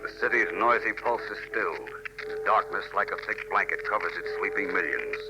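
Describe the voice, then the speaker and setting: A man narrates in the theatrical style of 1940s radio serials. His low voice builds suspense with scripted drama. Loud music plays behind him on the old recording. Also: big pitch swings, brisk, poor audio.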